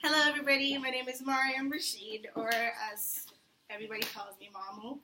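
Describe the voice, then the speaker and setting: A woman's voice: sits high, fairly even intonation, brisk. A young woman from Tanzania, a student candidate, gives an informal, candid and conversational campaign speech to an audience. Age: young adult. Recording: echoey room with faint background noise.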